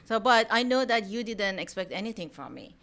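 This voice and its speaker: A woman's voice: mid-range voice, sing-song, a little fast, fairly bright tone. A woman from Iran with a thick Iranian accent, a native Persian speaker, chatting casually as an interview guest. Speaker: podcast guest, mortgage lender.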